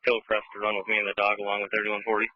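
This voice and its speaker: A male officer with a lowish voice directs units in terse police radio calls. The sound is dull and dry, as heard over a radio transmission. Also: monotone, very fast, no echo.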